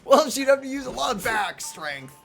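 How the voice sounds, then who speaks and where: Gamer woman reacting to a game, slightly high voice, playful, really animated. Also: normal speed, gravelly, music in the background. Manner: playful, informal. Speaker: let's play commentator. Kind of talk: casual, reactive game commentary.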